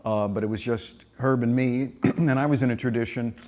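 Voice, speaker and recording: A man's voice: mid-range voice, fairly even intonation, moderate pace, a little mellow. A man in his sixties recalling his working past casually in an interview. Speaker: former newspaper assistant, interviewee. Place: indoor room with staircase, seated.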